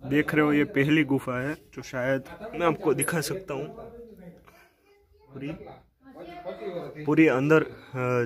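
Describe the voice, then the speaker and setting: A man, explaining things to viewers in a casual vlog style and often repeating himself. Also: mid-range voice. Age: 20s. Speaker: vlogger and on-site guide. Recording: loud music under speech. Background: loud instrumental music.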